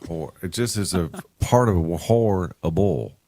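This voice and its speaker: A man hosts a morning radio show in a very low, gravelly voice that swings widely as he jokes. He reads listener messages aloud between bits of banter. The studio sound is dry. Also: expressive, unhurried, darkish tone, no echo. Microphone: studio microphone.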